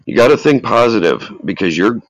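A man's voice: fairly low pitch, lively intonation, brisk, dry recording, lo-fi sound, voice pushed up. A man voicing an earnest, flustered emergency phone operator, urgent and repetitive, in a dramatic reading. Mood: urgent, pleading.

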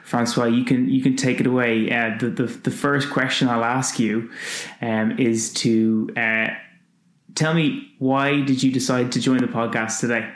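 A man hosts an interview podcast in a casual, halting way, with many ums and restarts. His voice sits in a middle pitch range. The recording has a roomy echo. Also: steady tone. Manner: hesitant, many fillers. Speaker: podcast host.

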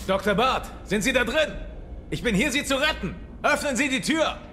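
An actor playing a determined action hero on a rescue mission, urgent and emotional, in an audio drama. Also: male voice, very high voice, lively intonation, moderate pace, noisy background. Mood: alarmed, urgent. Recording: loud music over the voice.

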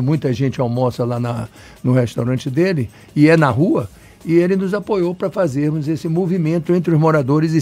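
A man's voice: mid-range voice, a little mellow. Talkative Bahian man explaining at length. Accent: Bahian Brazilian Portuguese. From Salvador, Bahia, Brazil. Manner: talkative, emphatic, digressive with restarts. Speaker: urban planner interviewee. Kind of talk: radio interview answers.

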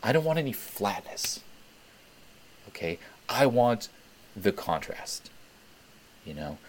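Low-voiced man from Switzerland, swearing freely. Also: sing-song, slow. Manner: candid, swears freely, emphatic repetitions. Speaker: webinar host. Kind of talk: casual Q&A talk.

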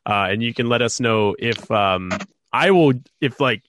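A man's voice: mid-range voice, expressive, a little slow, a bit bright, a little hiss or hum. A stuffed-up man hosting a casual sports podcast, rambling with lots of fillers. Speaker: podcast host.

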